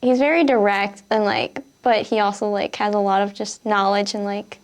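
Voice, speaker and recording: A woman's voice: medium pitch, fairly even intonation, moderate pace. A 19-year-old woman with a Wisconsin accent, reading a word list and then answering interview questions hesitantly, with many fillers. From Kenosha, Wisconsin.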